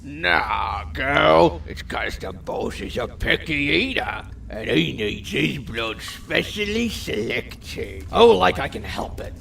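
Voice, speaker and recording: A man's voice: fairly high pitch, big pitch swings, slow pace, gravelly, few pauses, a bit bright, distant mic, a little hiss or hum, full character voice. A man playing a short-tempered, sarcastic vampire gang boss who swears and barks orders, in an amateur audio drama. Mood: impatient lecturing. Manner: profane, sarcastic, bossy.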